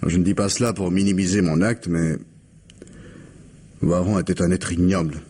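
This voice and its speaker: Radio crime drama: stubborn murder suspect, terse and defiant, with a low husky voice. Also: male voice, steady tone, normal speed, warm tone. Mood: bitter defiance. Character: stubborn, bitter male murder suspect under interrogation. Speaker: actor in a radio mystery.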